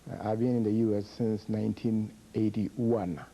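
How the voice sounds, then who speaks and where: Man from Ghana, middle-aged, very dark tone, low voice, measured and halting, repeats words. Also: level tone, lo-fi sound. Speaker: public health project director, guest. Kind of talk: explanatory interview answers.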